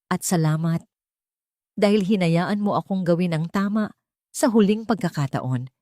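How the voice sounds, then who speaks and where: A woman's voice: lowish voice, unhurried, dark tone, no room sound, studio quality, lightly acted. Woman voicing a weak, pleading wounded general. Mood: weak, grateful. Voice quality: hoarse, weak. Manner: labored, pleading. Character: wounded, remorseful man, a general, pleading weakly.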